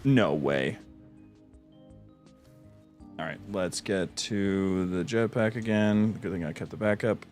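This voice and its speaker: Chatty low-voiced man gaming on stream. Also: takes it slowly, long pauses, a little mellow, soft background music. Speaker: livestream host playing a game. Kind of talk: casual gaming commentary.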